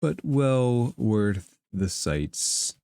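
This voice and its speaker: A man voicing a courteous merchant creature in a tabletop game, speaking in a measured, formal, deliberate way. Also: medium pitch, sing-song, vocal fry, dark tone, smooth, no echo, clean studio sound, exaggerated voice. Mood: solemn, courteous. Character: slow, courteous merkin merchant creature giving warnings.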